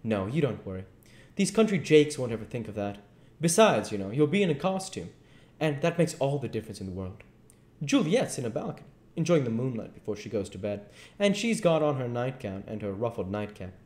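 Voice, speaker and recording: A man's voice: medium pitch, big pitch swings, a little fast, a little mellow, pitched above the performer's own voice, lightly acted. A man voicing a grandiose, persuasive con-man duke in a storybook, pompous and declamatory. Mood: reassuring, confident. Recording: echoey room.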